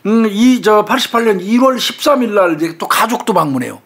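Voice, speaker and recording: Commentator, Chungcheong Korean accent, relaxed conversational delivery. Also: mid-range voice, fairly quick. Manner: conversational, with frequent fillers and murmured agreement. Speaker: crime commentator. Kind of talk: casual true-crime discussion.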